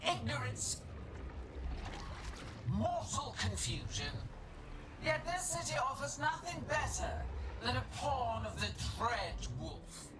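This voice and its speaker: A woman's voice: high-pitched, very animated, takes it slowly, pauses often, heavy background noise, heavily acted. A woman voicing a malevolent, contemptuous elven goddess in a video game, imperious and theatrical. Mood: scornful. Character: malevolent, contemptuous female elven god, monstrous and grand. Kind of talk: video game villain acting. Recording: loud music over the voice.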